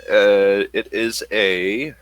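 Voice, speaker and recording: A man running a tabletop role-playing game as game master, improvising narration in a halting, thinking-aloud way. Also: on the low side, steady tone, no echo.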